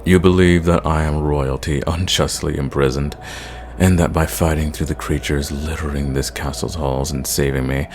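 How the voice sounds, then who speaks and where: A man playing an ancient, sadistic, condescending vampire in an ASMR roleplay, speaking in a theatrical, measured way and drawing out his words. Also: very deep voice, darkish tone, a little hiss or hum. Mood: amused, condescending.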